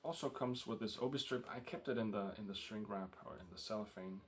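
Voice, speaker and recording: A man with a Danish accent talks casually through his record haul, pausing often with ums and uhs. His voice sits a little low. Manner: rambling, full of ums and uhs. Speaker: record collector vlogger.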